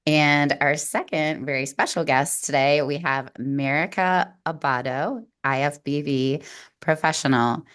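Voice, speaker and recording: Low-voiced, upbeat woman podcast host. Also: measured pace, a bit bright, smooth. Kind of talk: podcast interview.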